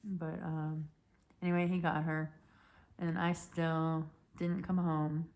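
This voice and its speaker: A woman in her thirties telling a personal story in a rambling, halting way, her voice hoarse and cracking. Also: low voice, level tone, a little mellow. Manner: rambling, halting, many restarts and fillers. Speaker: vlogger.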